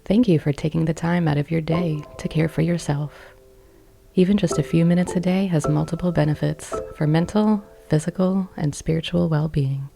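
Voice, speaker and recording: A woman narrating a guided meditation in a calm, measured, instructional manner. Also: deep voice, creaky. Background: meditation music.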